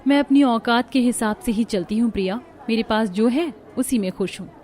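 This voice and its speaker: Woman playing a humble, mellow-voiced young wife. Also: on the high side, normal speed, a faint crowd, lightly acted. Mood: humble, steady. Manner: gentle, contented, earnest. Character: humble poor young wife, patient, loyal, forgiving friend. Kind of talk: Hindi moral cartoon story dialogue.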